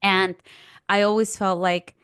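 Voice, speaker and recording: A woman with a Peruvian Spanish accent speaks in a smooth, clear voice at an average pitch, in a dry, close recording. She tells personal stories conversationally, slipping quoted asides into the telling. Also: level tone, measured pace, fairly bright tone, no echo. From Peru. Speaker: podcast guest, career coach. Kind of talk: personal storytelling in a podcast conversation.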